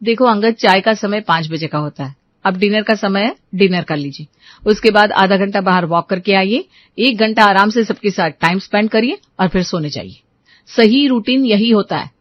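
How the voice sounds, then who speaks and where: Woman doing a young daughter in law, firm scolding tone, mid pitch, slightly dull dry audio, family drama. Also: moderate pace, no echo, lightly acted. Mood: fed up, stern. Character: fed-up, strict young daughter-in-law and mother.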